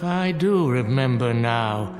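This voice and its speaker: A man acting in a radio drama as an earnest, judgmental reverend, measured and formal in his speech. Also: mid-range voice, animated. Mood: grave, condemning. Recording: reverberant hall, music underneath.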